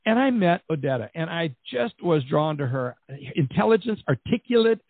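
A man's voice: on the high side, big pitch swings, moderate pace, no room sound. A man hosting an interview podcast, talking with effusive enthusiasm as he introduces his guest and asks his questions. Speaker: podcast host. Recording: dry, phone-like band.

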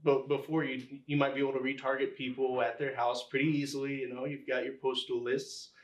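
A man answering interview questions as a podcast guest, explaining things conversationally and haltingly as he pieces thoughts together. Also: medium pitch, level tone. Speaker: podcast guest, engineering executive. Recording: roomy, echoing sound.

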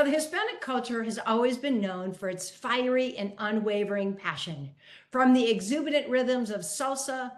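Upbeat woman podcast host, high voice. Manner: enthusiastic, emphatic delivery. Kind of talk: scripted podcast introduction and moderating. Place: South Florida.

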